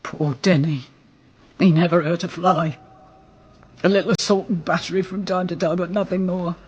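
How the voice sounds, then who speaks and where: A woman's voice: fairly low pitch, steady tone, moderate pace, darkish tone, husky, lo-fi sound. A woman acting a proud, hard, grieving Cockney mother from a criminal family in a radio drama, blunt and defiant. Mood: grieving, wary.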